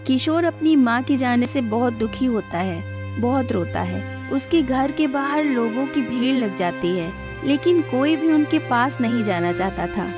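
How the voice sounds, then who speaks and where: A woman's voice: on the high side, expressive, unhurried, music in the background. A woman narrating a scripted moral cartoon story as its storyteller.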